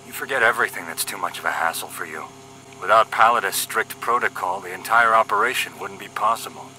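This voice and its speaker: A man's voice: fairly low pitch, quick pace, sharp tone, slight background noise, rough recording. A man acting as a wisecracking young paramilitary agent in a scripted audio drama, cocky and flippant in his banter. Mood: teasing.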